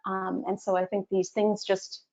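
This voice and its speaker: A young woman speaking earnestly and hesitantly as a guest, often pausing on fillers. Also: mid-range voice, flat delivery, darkish tone. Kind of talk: casual conversation. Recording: slightly muffled audio.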